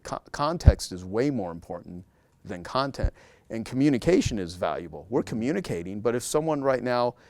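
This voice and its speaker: A man's voice: mid-range voice, very animated, fairly quick, a little mellow, no echo. A man speaking as a guest in a casual interview, talking emphatically and repeating key words to drive a point home.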